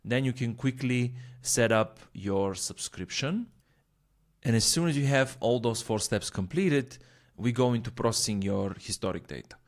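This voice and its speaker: A man giving an informal, explanatory walkthrough of a software product, often checking in with a quick "right?". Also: medium pitch, lively intonation. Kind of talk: walkthrough software demo narration.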